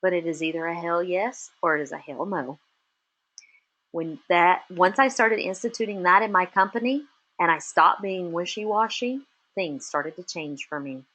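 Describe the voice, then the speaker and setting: A woman's voice: on the low side, moderate pace, rough recording. A middle-aged woman with a Texas accent giving a motivational business webinar, speaking in short emphatic statements. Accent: Texan. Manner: emphatic, punchy short statements with lively rises. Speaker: webinar presenter and business coach for chefs.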